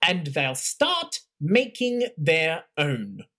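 Narrator playing a stern imperial academy head woman, audiobook, slow and scornful, wide-swinging pitch. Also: huge pitch swings, a bit bright, full character voice. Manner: cutting, scornful, deliberate.

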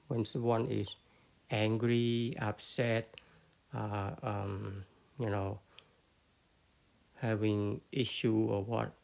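A man with a Cambodian accent giving an unscripted Buddhist talk, halting often with fillers and restarts. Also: on the low side, creaky, a little mellow. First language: Khmer. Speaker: Buddhist teacher.

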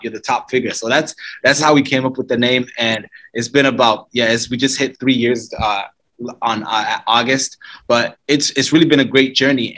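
Bright-voiced man telling his story. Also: mid-range voice, rough recording. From Somalia. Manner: relaxed, storytelling, lots of "you know". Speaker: guest, co-founder. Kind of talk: casual interview talk.

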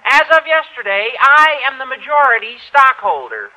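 An actor playing an eager, comically self-important teenage schoolboy with a squeaky adolescent voice in an old-time radio sitcom. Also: male voice, animated, normal speed, very noisy.

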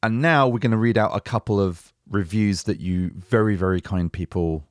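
A man's voice: on the low side, moderate pace, clear, resonant tone, no echo, clean studio sound. Upbeat man hosting a podcast. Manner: upbeat, chatty, laughs often. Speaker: podcast host. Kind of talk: casual podcast chat.

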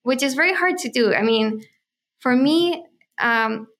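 A woman's voice: sits high, expressive, measured pace, a bit bright. A woman from Chile, a native Spanish speaker, hosting a podcast and thinking aloud in short, hesitant fragments. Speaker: podcast host, crypto journalist. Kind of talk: casual chat.

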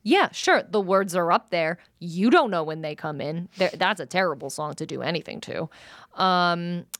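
Lively lower-voiced woman, emphatic swearing co-host, dry close mic. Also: moderate pace, dry recording. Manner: emphatic, swearing, playfully intense. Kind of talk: casual chat.

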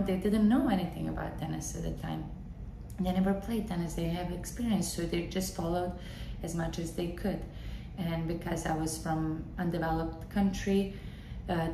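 A thirty-year-old woman from Bosnia and Herzegovina with a Bosnian accent, hosting a solo podcast and telling her own story conversationally, repeating words for emphasis. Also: on the low side. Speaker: podcast host, tennis coach. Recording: roomy echo with clear background noise.

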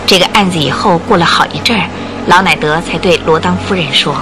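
A woman narrating a radio detective drama in a dramatic, expressive storytelling style from a script. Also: fairly low pitch, fairly quick, poor audio. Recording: music under the voice.

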